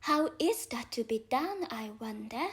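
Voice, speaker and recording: A woman's voice: on the high side, expressive, measured pace. A woman voicing a puzzled, polite and earnest little girl in a storybook, a little hesitant. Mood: musing, puzzled. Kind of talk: storybook character voice.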